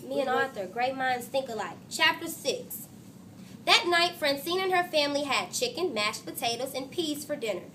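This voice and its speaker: High, bright-voiced woman teacher. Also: animated, moderate pace, a little hiss or hum. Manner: coaxing, questioning, instructive. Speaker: third-grade teacher. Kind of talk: storybook reading with class questions. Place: classroom with children answering.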